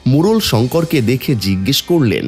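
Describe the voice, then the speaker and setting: A man's voice: medium pitch, big pitch swings, normal speed, a little mellow, husky, faint music behind. A man narrating a scripted cartoon folk story.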